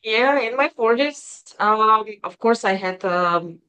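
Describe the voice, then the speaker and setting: A woman in her forties with a Bulgarian accent, a guest telling her story in an interview, hesitant with many pauses. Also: fairly high pitch, expressive, sharp tone, clear, resonant tone, poor audio. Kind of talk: interview conversation.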